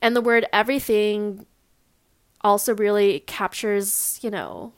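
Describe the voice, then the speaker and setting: A woman's voice: mid-range voice, steady tone, measured pace, clean tone, dry recording, clean studio sound. A woman in her twenties with a Californian American accent, hosting a casual personal podcast in a candid, informal, slightly nervous manner. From Long Beach, California, US. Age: 20s. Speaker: podcast host.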